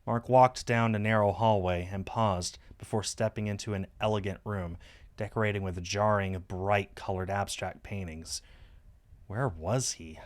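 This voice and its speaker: A man's voice: fairly low pitch, steady tone, unhurried. A man reading a visual novel aloud on a stream as scripted story narration.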